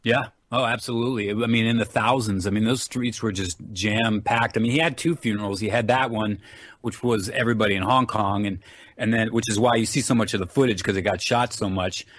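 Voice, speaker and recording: A man's voice: fairly low pitch, fairly even intonation, brisk, a bit bright, dry recording. Middle-aged man, close dry sound. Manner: talkative, rambling explanations. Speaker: interview guest, actor and martial artist. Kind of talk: casual interview chat.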